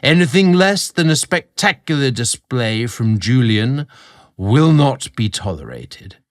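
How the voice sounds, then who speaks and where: A man's voice: mid-range voice, sing-song, unhurried, voice pushed up. A man voicing a haughty, icy British lord of the manor in an audio story, commanding and pompous. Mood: commanding, disdainful.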